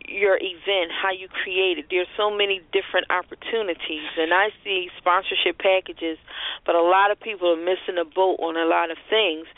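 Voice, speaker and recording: A woman's voice: fairly low pitch, no echo. A woman co-hosting a radio show, giving unscripted business advice in a conversational, explanatory way over the phone. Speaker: radio show co-host and marketing strategist. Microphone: telephone. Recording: tinny phone-line call-in audio.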